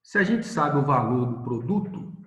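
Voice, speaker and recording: A man's voice: mid-range voice, animated, moderate pace, echoey room. A male math teacher giving a recorded video lesson, explaining step by step and asking questions he answers himself.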